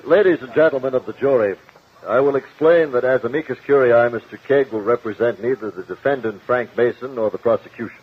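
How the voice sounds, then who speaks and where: An actor playing an even-handed presiding judge, measured and official, in a 1950s radio courtroom drama. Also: male voice, medium pitch, breathy edge, natural voice. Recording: muffled 1950 radio broadcast.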